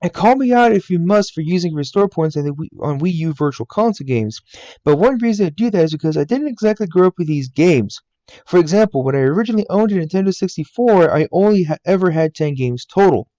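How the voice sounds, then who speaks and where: A man's voice: sits high, animated, quick pace, warm tone, dry recording. Earnest young man narrating, lo-fi laptop mic. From United States. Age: 20s. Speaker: YouTuber. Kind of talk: scripted voice-over narration. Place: game room in a family home.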